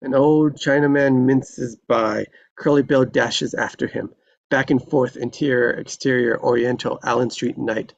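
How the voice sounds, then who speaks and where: Man, scripted narration, stage directions, gravelly, mid pitch, dull lo-fi sound. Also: steady tone, a little slow.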